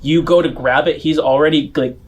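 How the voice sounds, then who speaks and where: A man working as game master, narrating a tabletop game off the cuff in a loose, halting, conversational way. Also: a little high, steady tone, slight background noise. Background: music.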